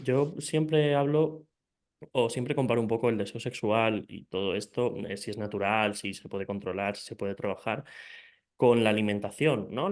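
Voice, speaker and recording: A man hosting an interview, asking long questions in a hesitant way, searching for words. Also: lowish voice. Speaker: interview host.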